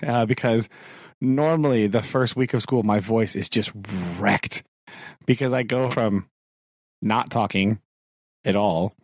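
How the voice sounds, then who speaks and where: A man's voice: fairly low pitch, unhurried, pauses often, dry recording. A man, a teacher co-hosting a podcast, chatting casually, laughing often and acting out his own thoughts. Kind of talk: casual chat. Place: home.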